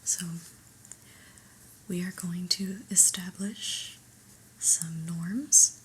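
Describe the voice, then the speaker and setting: A woman playing a stern, unimpressed new office boss in an ASMR roleplay, speaking in a breathy whisper with a measured, formal, reproving manner. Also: on the low side, a little hiss or hum, poor audio. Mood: stern, businesslike. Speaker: ASMR performer.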